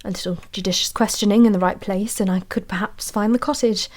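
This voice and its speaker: An actress playing an anxious, haunted woman antiquarian in an audio drama, telling her tale in a measured, confiding way. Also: medium pitch, steady tone, natural voice. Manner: measured, confiding, uneasy storytelling.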